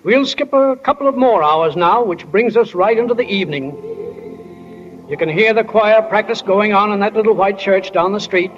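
An actor playing a homely, unhurried stage-manager narrator in a 1940s radio drama. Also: male voice, sits high, over music, natural voice. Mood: calm, reflective. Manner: folksy, matter-of-fact storytelling. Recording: dull, noisy 1940s radio recording.

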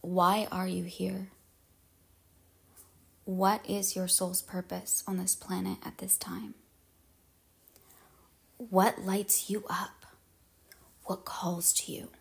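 Woman doing guided meditation, really slow and even, creaky breathy voice, solemn chanting kind of phrasing. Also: medium pitch. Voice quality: husky with fry, trailing into breathiness. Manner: measured, solemn incantatory phrasing with pauses.

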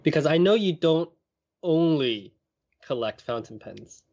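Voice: mid-range voice, expressive, a little slow, darkish tone. A podcast host who opens the show and interviews a guest casually, with frequent fillers. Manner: friendly, with frequent fillers and backchannel murmurs. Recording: dull remote recording.

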